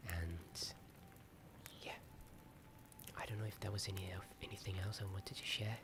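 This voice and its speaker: A man whispering a hesitant, rambling ASMR chat in a soft, often breath-only voice. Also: low voice, steady tone, moderate pace. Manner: hesitant, rambling, self-deprecating. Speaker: ASMR video host. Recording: strong ambient noise behind whisper. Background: added ambience sound.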